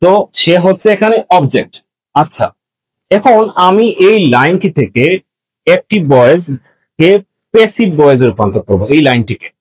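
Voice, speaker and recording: A man's voice: on the high side, very animated, moderate pace, poor audio. A man teaching students in an explanatory, step-by-step manner, repeating key points as he goes. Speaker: English grammar teacher. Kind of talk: explanatory lesson addressed to students.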